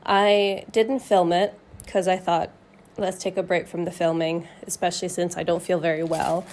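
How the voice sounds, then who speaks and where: A young woman chatting casually to camera in a vlog, nasal and hoarse from a cold, thinking aloud with fillers. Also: fairly low pitch, level tone, normal speed, a little mellow, a little hiss or hum. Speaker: knitting vlogger.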